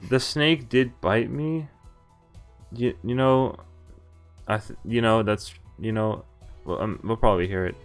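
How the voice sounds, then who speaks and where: A man's voice: medium pitch, steady tone, unhurried. A man streaming a visual novel, reading the game text aloud with casual, profane, laughing commentary. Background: faint game music.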